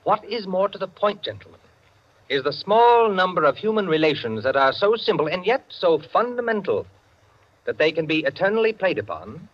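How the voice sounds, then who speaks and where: Talkative pompous clubman, genial and fussy, very lively intonation, vintage radio drama, dull hissy recording. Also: high-pitched, moderate pace. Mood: pontificating. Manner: pompous, fussy, hesitant asides. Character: genial, talkative clubman holding forth on storytelling. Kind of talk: 1940s radio drama acting.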